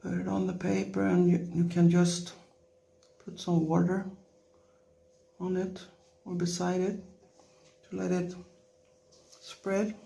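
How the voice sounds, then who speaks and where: A woman with a non-native English accent giving a relaxed watercolour lesson in short, gently encouraging phrases while she works. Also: fairly low pitch, slow, creaky, pauses often. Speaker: watercolour tutorial instructor. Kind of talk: relaxed painting tutorial, talking while working.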